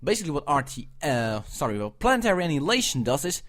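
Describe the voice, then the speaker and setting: Young German-accented man, chatty reviewer, a touch high-pitched, self-correcting, opinionated. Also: sing-song, fast talker, a bit bright. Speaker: video reviewer. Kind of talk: casual commentary.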